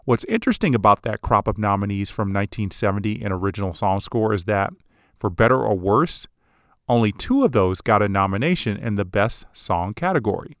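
A man hosting a podcast, giving scripted, measured commentary on film history. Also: on the low side, expressive, no echo, studio quality. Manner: measured, analytical. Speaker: podcast host.

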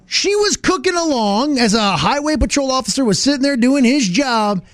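An American man, middle-aged, hosting morning radio with animated, emphatic, mock-serious comic banter and storytelling. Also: very high voice, normal speed, dry recording. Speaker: radio morning show host.

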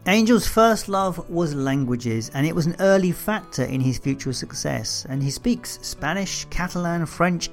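Male podcast host, gravelly tone, slightly high pitch, measured read. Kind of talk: scripted intro then interview.

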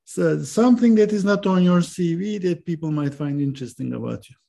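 A man's voice: on the high side, a little fast, creaky, warm tone. A man interviewing a guest, asking brief, prompting questions.

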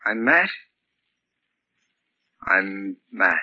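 Husky, deranged lovesick patient. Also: male voice, deep voice, very animated, bright, forceful tone, full character voice. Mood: dazed, weary. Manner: rambling, repetitive, halting. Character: deranged, lovesick man in a hospital bed. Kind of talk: 1940s radio drama acting. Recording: dull lo-fi 1940s radio recording.

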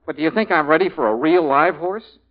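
A man's voice: fairly high pitch, brisk, natural voice. A man acting a nervous, wisecracking adult afraid of horses in an old American radio comedy, speaking hesitantly with comic anxiety. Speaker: actor in a radio comedy-mystery. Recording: muffled vintage radio recording.

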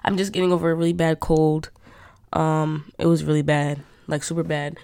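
Nasal, stuffed-up young woman, low voice. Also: flat delivery, moderate pace, gravelly, dark tone, smooth, no echo, clean studio sound. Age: young adult. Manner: rambling, chatty, lots of fillers. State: stuffed up from a cold. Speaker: podcast host. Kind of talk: casual monologue.

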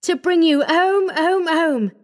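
A man reading an audiobook, voicing an eager, joyful little girl who pleads excitedly. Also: very high voice, slow, pitched above the performer's own voice. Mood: gleeful.

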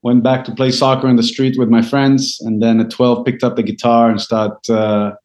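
A man's voice: medium pitch, flat delivery, normal speed, a little mellow. A man, a Hebrew speaker, answering interview questions in a reflective way with frequent hesitations. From Israel. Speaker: guest composer.

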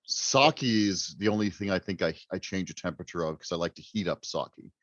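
Low-voiced wry man, dry muffled sound. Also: brisk, a bit bright, no echo. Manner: wry, joking. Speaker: writer, podcast guest. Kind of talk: casual chat.

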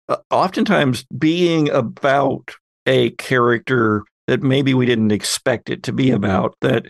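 A man talking reflectively as a podcast co-host, in a measured and wordy way. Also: medium pitch, no echo. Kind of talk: reflective panel chat.

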